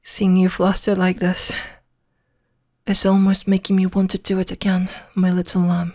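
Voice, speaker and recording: Woman acting as courtly vampire lady, British accent, lowish pitch, very even intonation, soft and breathy, flirty, possessive, formal, ASMR roleplay. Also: moderate pace. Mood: flirty, doting. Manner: formal, archaic, courteous phrasing.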